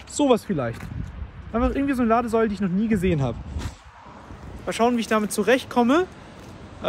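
A man's voice: sits high, slight background noise. A man vlogging casually on a road trip, chatty and enthusiastic, with plenty of fillers, talking from his car and at charging stations.

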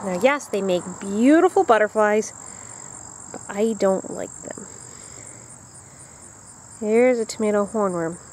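A woman in her thirties talks casually to her handheld camera outdoors, with an everyday mid-pitched voice. She sounds like a home gardener narrating a vlog, with faint outdoor noise around her. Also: slow pace, pauses often, a little mellow. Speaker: vlogger. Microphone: handheld camera at arm's length.

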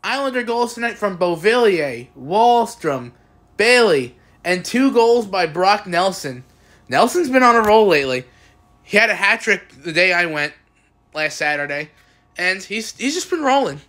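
A young man giving casual, excitable postgame recaps as a sports fan, recorded on a phone at home. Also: high voice, a little slow. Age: young adult. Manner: excitable, exclamatory fan cheering. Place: small indoor room at home. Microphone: phone at arm's length.

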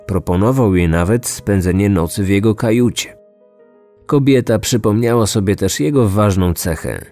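A man's voice: lowish voice, moderate pace, a little mellow. A man in his thirties hosting and narrating a scripted true crime podcast. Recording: music behind, some reverb. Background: music.

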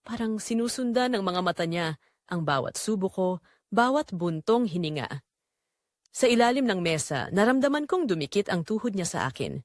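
A woman's voice: medium pitch, lively intonation, moderate pace, dry recording, studio quality, lightly acted. A woman playing a guilty middle-aged mother confessing a forbidden affair in an emotional, scripted romantic audio drama. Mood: tense, yearning.

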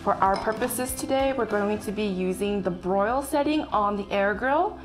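Young woman, kitchen demo host, mid-pitched casual instructional read over background music. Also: moderate pace. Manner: friendly step-by-step instructions while working. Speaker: chef demonstrating a recipe. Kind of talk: casual cooking demonstration. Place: bright home kitchen. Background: background music and sizzling food.

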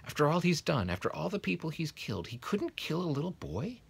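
A man plays a stern older witch from a storybook, prim and anxious. Her voice is raised and very breathy, with sharp, insistent phrasing that moves widely in pitch. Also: big pitch swings, brisk, heavily acted. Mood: shocked.

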